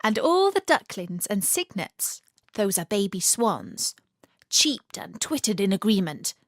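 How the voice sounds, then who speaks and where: A woman narrating a scripted children's story as storyteller and host, with an animated, theatrical and deliberate delivery. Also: medium pitch, no echo, clean studio sound.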